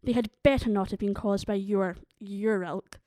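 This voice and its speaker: A woman's voice: mid-range voice, steady tone, normal speed, dark tone, no echo, studio quality, lightly acted. A woman playing a stern, haughty vampire leader in an audio drama, formal, guarded and imperious in her speech. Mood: stern, suspicious.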